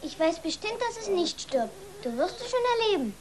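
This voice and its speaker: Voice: very high voice, very animated, a little fast, a crowd in the background. A performer playing an earnest, insistent little farm boy in a children's radio play. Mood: stubborn, earnest. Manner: pleading, insistent.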